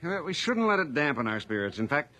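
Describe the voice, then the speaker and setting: An actor playing a genial, evasive small-town police chief in a radio drama, with a hearty, folksy manner. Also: male voice, fairly high pitch, big pitch swings, a little fast, bright, forceful tone.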